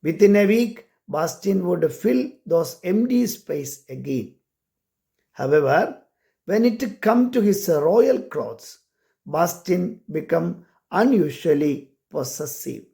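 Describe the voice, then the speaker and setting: Slow, high-voiced Indian-accented man. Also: lively intonation, creaky, warm tone. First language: not English. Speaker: amateur short story writer and care worker. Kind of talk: personal introduction and reading aloud of own short story.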